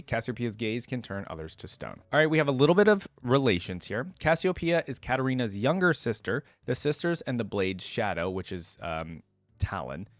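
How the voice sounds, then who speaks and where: A man's voice: medium pitch, no room sound. A man hosting a reaction video in an informal, chatty style, commenting and reading lore aloud for viewers.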